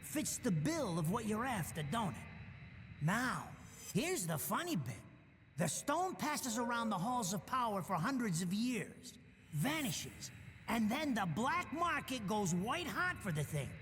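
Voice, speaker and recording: An actor playing an excitable, greedy American small-time informant in a video game, slangy and wheedling. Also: male voice, high voice, unhurried, exaggerated voice. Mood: chatty, scheming. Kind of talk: video game cutscene acting. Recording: noisy, long echoing reverb.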